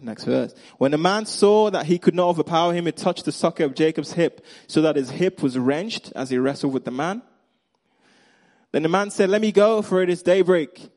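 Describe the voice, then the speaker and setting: A man with a Nigerian accent preaching a sermon, acting out the characters' lines and swinging between loud emphasis and quiet, hushed moments. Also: a little high, normal speed. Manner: animated storyteller, acts out Bible characters' lines.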